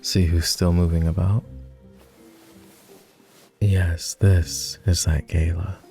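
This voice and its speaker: A man voices a refined, doting vampire gentleman for romantic roleplay audio. His voice is very low with a gravelly creak, and he speaks in slow, formal phrases. He is courtly and affectionate, with a gentle teasing streak. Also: little pitch movement, soft background music, full character voice. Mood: fond, measured. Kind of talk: romantic roleplay ASMR acting.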